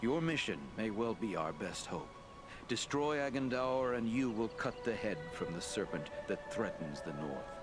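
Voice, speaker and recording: An actor playing a wise, noble lord in a fantasy video game, speaking in a grave, measured, formal manner. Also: male voice, lowish voice, animated, music in the background, natural voice. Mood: solemn counsel. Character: wise, solemn, noble lord counselling heroes.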